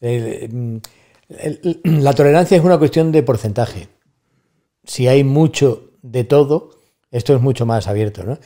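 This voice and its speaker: A man's voice: medium pitch, a little slow, warm tone. A middle-aged man with an Andalusian accent, a guest talking at length in a casual podcast conversation, thoughtful and fond of rhetorical asides. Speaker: guest, university staff member.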